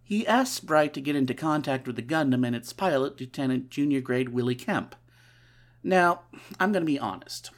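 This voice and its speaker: A stuffed-up podcast host with a nasal voice, recapping a comic casually in a middling pitch. The host keeps breaking off for wry, sarcastic asides. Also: expressive, moderate pace. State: stuffy nose.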